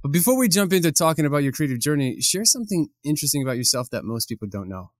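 A man's voice: a little high, very animated, racing, noisy background. A man from Ukraine hosting a podcast, introducing his guest and asking interview questions in an upbeat way. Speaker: podcast host.

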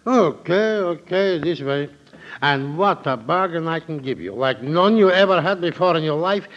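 An actor playing a chatty, folksy camera shopkeeper full of sales patter in a 1940s radio drama. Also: male voice, fairly high pitch, expressive, moderate pace, a bit bright. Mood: eager. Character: eager, chatty male camera shopkeeper, small-time salesman. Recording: muffled, noisy old radio recording.

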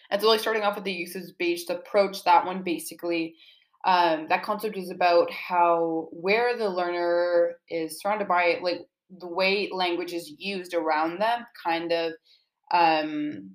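A young woman with a fairly low voice talks as a student being interviewed about their own writing. The delivery is casual and earnest, explaining things informally with plenty of "like" along the way.

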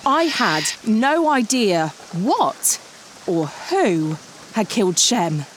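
A woman's voice: mid-range voice, big pitch swings, drawn-out words, noisy background. An actress playing an earnest, suspicious woman writer turned amateur detective, dramatic and insistent, in an audio comedy mystery. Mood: dramatic storytelling. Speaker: lead actor and first-person narrator.

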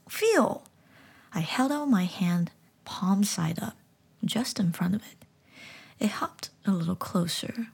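A woman whispering a story slowly. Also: fairly low pitch, gravelly, slightly rough. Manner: soft, whispery, slow reading. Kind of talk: storybook narration.

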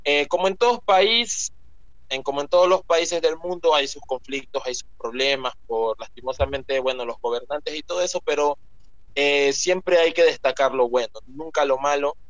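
A man's voice: a little high, normal speed, no room sound. Young man, muffled rambling talk. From Ecuador. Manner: rambling, with many fillers and restarts. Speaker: guest author. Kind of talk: casual interview talk. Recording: muffled lo-fi sound.

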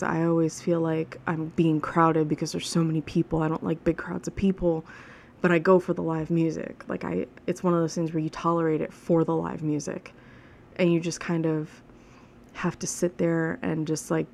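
Solo podcast host, woman, 30s; deep-set and monotone with vocal fry, chatty, frank and rambling. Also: normal speed, a little hiss or hum. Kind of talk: casual solo podcast monologue. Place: alone at home.

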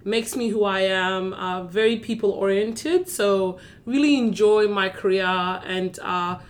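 A woman's voice: mid-range voice, a little slow. Earnest woman guest on a podcast. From South Sudan. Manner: earnest, proud, thoughtful. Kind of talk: conversational interview.

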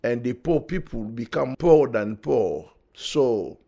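A man, speaking as a podcast guest in halting, earnest English. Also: medium pitch, expressive, gravelly, warm tone. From Democratic Republic of Congo. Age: 40s. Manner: halting non-native English in short earnest phrases. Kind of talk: conversational interview, personal testimony.

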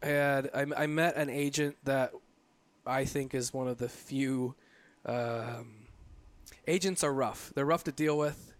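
A man in his late thirties, a photographer, talking in a relaxed interview, reflective and rambling with many fillers and restarts. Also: medium pitch, measured pace. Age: 30s. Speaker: guest, photographer. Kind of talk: casual interview conversation.

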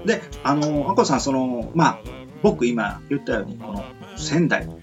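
A man from Kyushu hosting a casual radio chat, polite and wordy, circling haltingly toward his questions. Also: mid-range voice, sing-song. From Kyushu, southern Japan. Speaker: radio host. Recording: muffled lo-fi audio with music behind. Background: background music.

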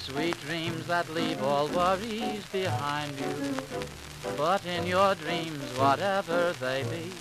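A singer performing a slow, vintage romantic ballad with drawn-out phrases. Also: medium pitch, poor audio. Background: music.

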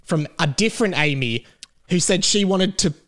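A man's voice: high voice, expressive, normal speed, a bit bright. A man with an Australian accent hosting a casual comedy podcast over a video call, chatting and reading out listener mail. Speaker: podcast host. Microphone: remote video call recording.

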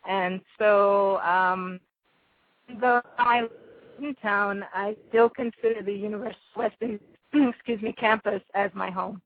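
A woman's voice: medium pitch, fairly even intonation, a little slow, no room sound. Jamaican woman, dull close-up sound. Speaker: interview guest, filmmaker. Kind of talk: thoughtful conversational interview answers.

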